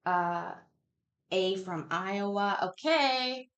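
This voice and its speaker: A woman's voice: medium pitch, very slow, fairly bright tone, muffled recording. A woman in her thirties hosting a casual livestream quiz, reading questions aloud and chatting candidly, swearing and interrupting herself. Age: 30s. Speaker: host of a live online trivia chat.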